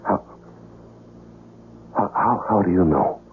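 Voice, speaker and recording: An actor playing an earnest, bewildered man with no memory in a 1970s radio drama, gravelly and often halting. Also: low voice, a little hiss or hum. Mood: confused, wary. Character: bewildered amnesiac man accused of being an assassin. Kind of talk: 1970s radio drama acting with first-person narration. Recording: narrow-band vintage radio broadcast.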